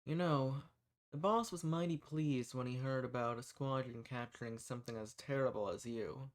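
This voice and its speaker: A man acts out an undercover rebel in a fantasy roleplay audio drama, speaking straight to the listener. His voice sits at an average male pitch. The phrasing is plain and folksy, that of a hunter with a secret cause. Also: moderate pace, close to an everyday voice. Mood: menacing. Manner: folksy, reassuring.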